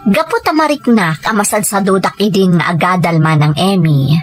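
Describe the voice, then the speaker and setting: A woman's voice: lowish voice, lively intonation, moderate pace, lightly acted. A woman in an Ilocano audio drama playing an earnest young woman living among forest rebels. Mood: reflective. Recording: loud music over the voice.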